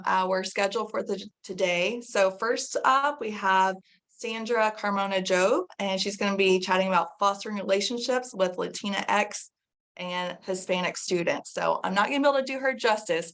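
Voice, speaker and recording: Woman, medium pitch, webinar moderator, video call, reads a bio. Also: fairly bright tone. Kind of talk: introducing a speaker on a video call.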